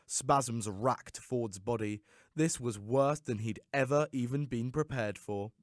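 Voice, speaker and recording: A man giving a theatrical, expressive scripted reading of a story as an audiobook narrator. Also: medium pitch, slow pace, dry recording, studio quality.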